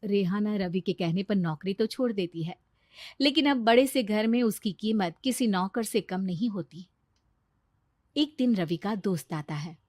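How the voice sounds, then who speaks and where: A woman's voice: medium pitch, measured pace, darkish tone, dry recording, studio quality. A woman delivering scripted narration for a moral cartoon story.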